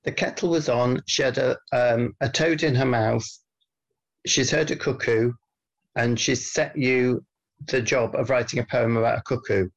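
A man co-hosting a comedy podcast, answering dryly and deadpan. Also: medium pitch, moderate pace, low-quality recording. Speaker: podcast co-host. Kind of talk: comic banter.

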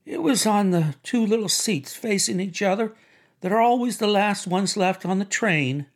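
A man voicing a brassy, gushing, excitable woman in an audiobook, with theatrical, affected chatter. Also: high voice, normal speed, pitched above the performer's own voice. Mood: giddy, thrilled. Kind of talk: audiobook character voice.

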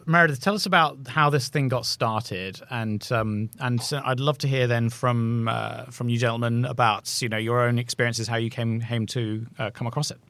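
A man hosts a podcast interview with an upbeat, joking manner and quick little reactions. His voice sits at a middle pitch, and he is recorded dry and close in a studio. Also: steady tone, fairly bright tone, dry recording. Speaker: podcast host. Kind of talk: casual interview hosting.

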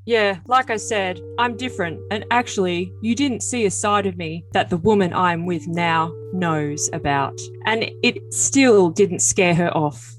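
A woman's voice: fairly low pitch, a little slow, hardly any pauses, soft background music, lightly acted, low-quality recording. A woman voicing an earnest, defensive, lovesick young man in a dramatic reading of text messages. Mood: defensive, boastful. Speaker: podcast cast reader.

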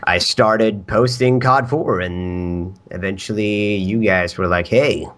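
Swedish guy on a gaming podcast, lowish voice, rambly, laughs and curses a lot. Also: takes it slowly, a bit bright. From Sweden. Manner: rambling, laughs often, swears. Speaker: podcast guest. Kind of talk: casual podcast chat.

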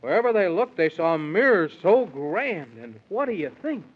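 A man's voice: high-pitched, sing-song, moderate pace, slightly rough. A man narrating a children's story in rhyme with theatrical sing-song delivery, in the style of 1930s radio. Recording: dull, muffled 1930s radio recording.

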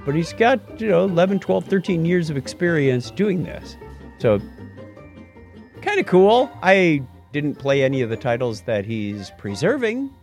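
Lively-voiced man co-hosting a tech show. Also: a little high, a little slow, soft background music. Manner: rambling, self-correcting, lively. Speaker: podcast co-host. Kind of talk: casual tech chat.

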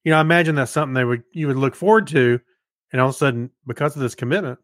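Halting man in casual podcast chat. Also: mid-range voice, no echo, clean studio sound. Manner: halting, searching for words. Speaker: podcast co-host. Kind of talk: casual chat about TV shows.

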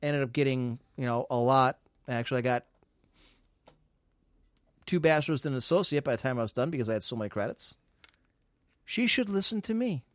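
A man's voice: medium pitch, lively intonation, a little fast, long pauses, dry recording. A man hosting a live podcast, chatting casually, rambling and teasing with mock boasts. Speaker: podcast host.

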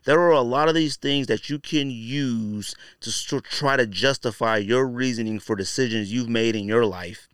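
Clear-voiced man, blunt podcast host. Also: medium pitch, fairly bright tone, no echo, clean studio sound. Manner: conversational and slangy, frequently saying "you know" and "man". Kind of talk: casual opinionated commentary.

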